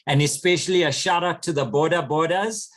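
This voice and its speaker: A man hosting a podcast who interviews his guests in an effusive, enthusiastic way with quick asides. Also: fairly high pitch, fairly bright tone. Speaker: podcast host.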